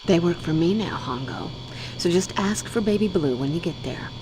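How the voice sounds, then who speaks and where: A woman's voice: on the low side, animated, normal speed, darkish tone, slight background noise, lightly acted, poor audio. An actress playing a cruel, domineering female crime boss who talks with menacing contempt. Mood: menacing scorn.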